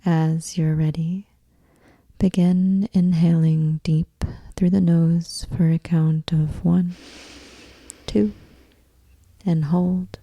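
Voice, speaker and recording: Woman doing guided meditation, soft breathy near-whisper, bit of creaky fry, really even and soothing, close dry sound. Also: on the low side, no room sound, poor audio. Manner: soothing, unhurried, measured. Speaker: yoga nidra meditation guide.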